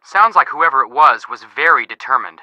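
A man's voice: mid-range voice, lively intonation, a little fast, sharp tone, no echo, lo-fi sound. A man playing an eager, joking young friend who gives quippy, enthusiastic clues in a video game. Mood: impressed, teasing. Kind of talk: scripted video game dialogue.

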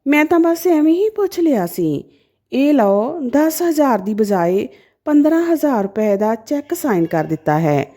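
A woman narrator acts out a young nephew in an audio story. He is respectful, generous and a little sheepish, with a mellow tone and pitch that moves freely. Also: very animated, unhurried, exaggerated voice. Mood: apologetic, generous.

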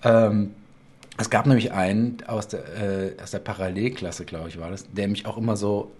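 A man in his forties hosting an interview over a video call from a home studio, chatty and lively when he tells anecdotes. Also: lowish voice, normal speed, husky. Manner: chatty storyteller, animated when telling anecdotes. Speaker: talk show host. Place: home studio room with bookshelves and colored lights.

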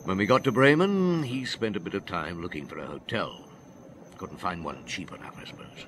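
An actor in a radio drama playing a brooding, guilt-ridden police detective who tells things in a reflective, halting way. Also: male voice, low voice, animated, a bit bright, a little hiss or hum. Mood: haunted, reflective. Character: brooding, guilt-ridden police detective, world-weary middle-aged man.

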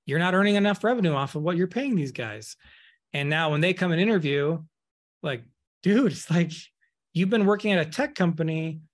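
A man's voice: a little high, animated, fairly quick. A man in his thirties talks candidly as a podcast guest, picking up speed when he gets worked up. His voice sits in the middle range. He comes through a webcam on a video call from an office room. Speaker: guest, accounting firm owner. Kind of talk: casual podcast interview.

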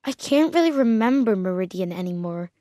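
An actress voicing a worried, hungry refugee child in a video game, talking plainly and anxiously. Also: female voice, medium pitch, lively intonation, fairly quick, mellow, no room sound, clean studio sound, lightly acted. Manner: anxious, wondering, plain-spoken. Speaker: video game background character.

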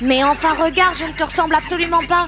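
A woman's voice: extremely high pitch, fairly even intonation, fast. A woman voicing a proud, earnest little boy raised by apes in a cartoon. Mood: upset, insistent. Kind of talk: cartoon dubbing. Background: loud background music.

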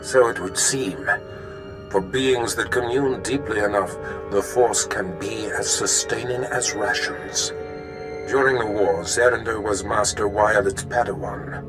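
Man acting a deep, imperious Sith master. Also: fairly even intonation, over music, close to an everyday voice, poor audio. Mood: grave, musing. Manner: imperious, formal, measured. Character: cold, menacing Sith master, commanding and merciless to underlings. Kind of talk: video game voice acting.

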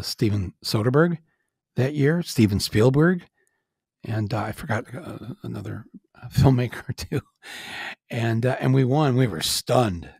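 Gravelly, husky man rambling on a podcast. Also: mid-range voice, darkish tone, dry recording, clean studio sound. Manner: rambling, self-deprecating, with chuckles and frequent "uh". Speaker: podcast host. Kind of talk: casual monologue.

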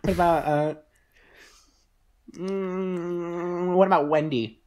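A man's voice: high voice, fairly even intonation, takes it slowly, a loud crowd. A man playing a nervous, girl-crazy teenage boy with a hesitant, dopey, repetitive way of talking in improvised comedy. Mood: smitten.

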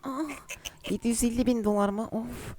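Woman gamer in voice chat, kinda high voice, breathy sighs n pet names, faint hiss behind. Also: steady tone.

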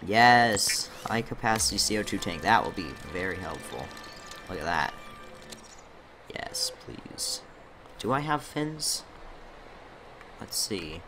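A young man commentating his own gameplay in a casual, chatty way, muttering to himself, his voice slightly rough from a recent illness. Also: fairly low pitch, big pitch swings, slow pace, long pauses, music in the background. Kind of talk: casual let's-play commentary.

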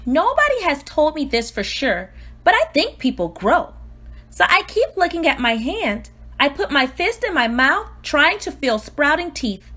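A woman voicing a precocious, self-important newborn baby diarist, earnest and exclamatory, in an expressive storybook read-aloud. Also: high voice, unhurried, full character voice. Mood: determined.

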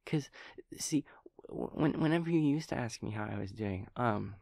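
A man playing a gentle, naive, scatterbrained young daemon friend in an audio roleplay, speaking in hesitant, childlike rambles. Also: mid-range voice, animated, moderate pace, vocal fry, no echo, clean studio sound. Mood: tender, happy. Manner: hesitant, stammering, rambling, childlike, softly giggling.

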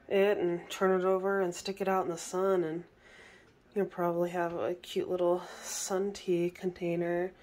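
A young woman in her kitchen chatting casually to her phone camera, clearing her throat now and then. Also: fairly low pitch, a little mellow. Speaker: vlogger. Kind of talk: casual home vlog narration. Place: home kitchen, indoors.

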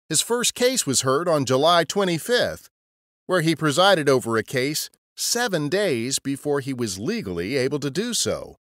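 A man's voice: mid-range voice, dry recording, studio quality. A man narrating a scripted history podcast as a storyteller.

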